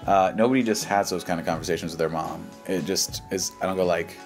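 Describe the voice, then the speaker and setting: Male YouTube host, American, low-pitched, casual and dismissive with slang, over background music. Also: fairly even intonation, fast, gravelly. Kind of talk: casual reaction commentary, reading posts aloud.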